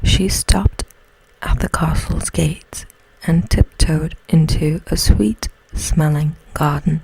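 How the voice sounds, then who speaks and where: A woman whispers a bedtime story in a hushed, breathy ASMR style, close to the mic. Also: low voice, fairly even intonation, no room sound, rough recording. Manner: slow, hushed, soothing delivery.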